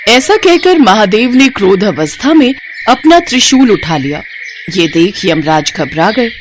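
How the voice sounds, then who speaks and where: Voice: mid-range voice, sing-song, unhurried, noisy background. A narrator reading a scripted devotional myth story.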